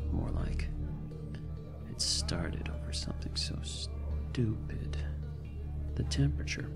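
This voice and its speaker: Male actor as haunted drunk spacefarer, deep gravelly voice, slow halting rambling, ASMR roleplay, loud bar music behind. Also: full character voice. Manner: slurred, halting, rambling with muttered asides. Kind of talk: in-character ASMR roleplay monologue.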